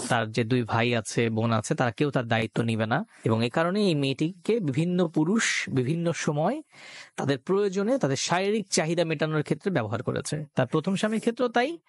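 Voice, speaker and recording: A man's voice: medium pitch, dry recording. A man with a Bangladeshi accent hosting a radio show, speaking earnestly to listeners in emphatic appeals and mixing in English words. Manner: code-mixes English words into speech. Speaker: radio show host and presenter.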